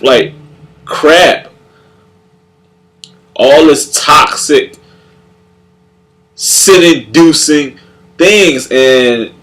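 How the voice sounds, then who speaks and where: Young guy with a blocked-up nasal voice, slow and halting, keeps restarting his sentences. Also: medium pitch, fairly bright tone, low-quality recording. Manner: halting, many repeated words and restarts. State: congested, nasal. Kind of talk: casual spoken reflection on faith.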